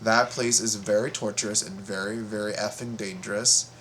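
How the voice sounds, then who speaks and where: A man hosting a casual reaction video, talking in a halting, playful way and often repeating his words. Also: on the low side, steady tone, noisy background.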